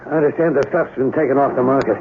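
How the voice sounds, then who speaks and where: An actor playing a plain-spoken, embittered rural mechanic with a halting, folksy way of talking and a gravelly voice, in a radio drama. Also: male voice, mid-range voice, close to an everyday voice. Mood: halting, sorrowful. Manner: folksy, halting, ungrammatical speech. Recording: narrow phone-like, faintly noisy radio broadcast.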